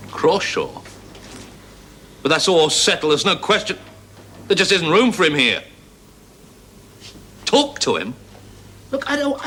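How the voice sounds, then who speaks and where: An actor playing a domineering, volatile research chief with a British accent, forceful and impatient, in a 1970s television drama. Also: male voice, fairly high pitch, heavily acted. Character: domineering, volatile male head of a research team. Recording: old TV soundtrack, faint noise.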